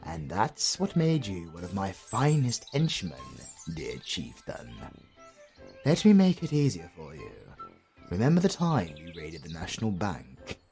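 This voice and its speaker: Slow, sardonic British supervillain played by a man. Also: sits low, huge pitch swings, darkish tone, faint music behind, exaggerated voice. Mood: patient, explaining. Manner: dry, sardonic, pompous, articulate. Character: retired male supervillain, sardonic, wordy, exasperated gentleman. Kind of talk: acted comedy audio roleplay.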